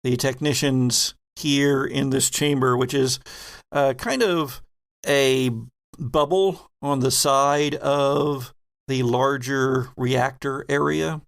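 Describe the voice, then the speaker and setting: A man acting as game master, narrating a tabletop horror roleplaying game in a halting, deliberate way with vivid detail. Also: mid-range voice, fairly even intonation, vocal fry.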